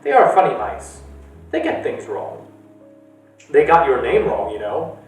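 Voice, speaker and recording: A man's voice: mid-range voice, huge pitch swings, measured pace. A man reading aloud voices an eccentric, secretive old man who sometimes drops to a whisper. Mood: mysterious. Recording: echoey room, distant mic, faint music.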